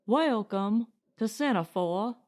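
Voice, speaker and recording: Woman voicing a slow-speaking, formal colony director. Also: medium pitch, expressive, a little mellow. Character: weathered middle-aged male colony director, frank and formal.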